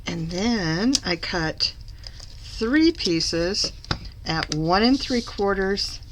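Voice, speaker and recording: A woman recovering from a cough, slightly hoarse, chatting through a papercraft tutorial as she works and correcting herself along the way. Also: medium pitch, animated, very slow, noisy background. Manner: chatty, self-correcting, talks while working. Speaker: papercraft demonstrator. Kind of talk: live craft tutorial.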